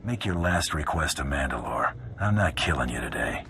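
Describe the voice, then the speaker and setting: Very deep gruff bounty hunter. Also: male voice, lively intonation, normal speed, noisy background. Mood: terse, cool. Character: gruff, confident male bounty hunter, terse and cocky. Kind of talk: dramatic video game dialogue. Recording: game music behind the voice.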